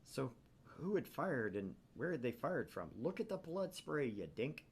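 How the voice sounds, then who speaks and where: A man's voice: mid-range voice, big pitch swings, unhurried, breathy edge. A man hosting a gaming channel, narrating a visual novel aloud with theatrical, irreverent casual asides.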